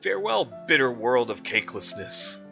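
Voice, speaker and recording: A man voicing a whiny, excitable female sidekick obsessed with cake. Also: mid-range voice, normal speed, gravelly, lo-fi sound, faint music behind, full character voice.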